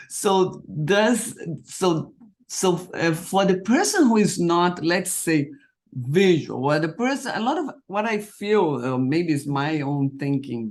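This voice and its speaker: A man hosting an interview in English, which is not his first language, asking questions haltingly as he searches for words. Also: a little high, a little mellow. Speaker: interview host.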